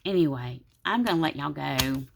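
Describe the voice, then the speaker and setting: A woman's voice: expressive, normal speed, vocal fry. A woman, sick and congested with a sore throat, chatting tiredly to viewers from her desk at home. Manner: tired, low-energy, rambling. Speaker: planner vlogger. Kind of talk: casual vlog talk to viewers.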